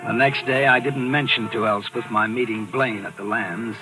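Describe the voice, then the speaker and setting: A man's voice: fairly low pitch, fairly even intonation, moderate pace, lightly acted, rough recording. Man acting a brooding jealous husband, old radio mystery. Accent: American. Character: bitter, jealous husband, brooding stage actor narrating his story. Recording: old radio broadcast with loud music.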